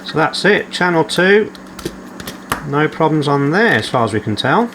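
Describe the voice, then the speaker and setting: Repair-channel host, male, slightly higher pitch, methodical and matter-of-fact. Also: very animated, moderate pace, background noise. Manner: methodical, reads out measurements as he works. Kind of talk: calm narrated workshop repair. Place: home workshop.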